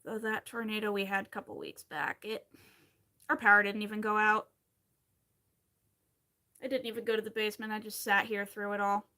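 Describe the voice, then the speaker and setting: Bright-voiced chatty woman from Pennsylvania. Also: mid-range voice, level tone, moderate pace, pauses often. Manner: chatty, rambling, wry asides, answers chat comments. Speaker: crochet livestream host. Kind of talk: casual chat while crocheting on a livestream.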